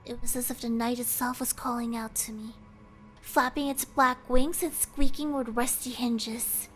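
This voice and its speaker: A woman's voice: fairly high pitch, fairly even intonation, moderate pace, fairly bright tone. A woman giving a suspenseful, theatrical reading of a horror story over background music. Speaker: let's player reading a visual novel aloud. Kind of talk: dramatic scripted narration of a horror story.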